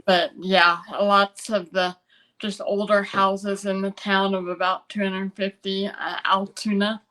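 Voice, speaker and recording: A woman with an American accent speaking conversationally as an interview guest, halting often to gather her thoughts. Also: medium pitch, level tone, sharp tone, rough recording. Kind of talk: conversational personal storytelling.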